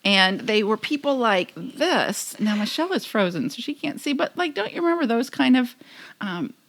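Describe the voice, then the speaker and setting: A woman's voice: fairly high pitch, sing-song, moderate pace. A middle-aged woman co-hosting a podcast, chatting casually and easily tipping into giggles as she retells things. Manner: giggly, easily breaks into laughter. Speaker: podcast co-host. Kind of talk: casual chat, retelling a magazine article.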